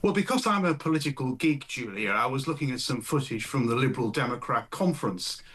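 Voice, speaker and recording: A man's voice: medium pitch, lively intonation, fairly quick, a bit bright, husky. A man, a political campaigner, guesting on talk radio, indignant and ironic. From England. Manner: indignant, mock-shocked, ironic.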